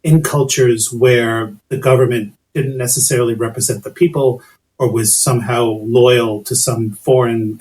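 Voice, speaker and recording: A man's voice: medium pitch, a little mellow, poor audio. A man hosting a podcast, asking long, thoughtful, winding questions of his guest. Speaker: podcast host.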